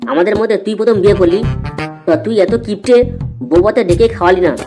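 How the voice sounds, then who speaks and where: A man acts out a boastful young male drinker in a comic cartoon. He has a crude, bragging way of talking. Music is clearly present behind him. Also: sits high, fairly even intonation, quick pace, natural voice. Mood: boastful, needling.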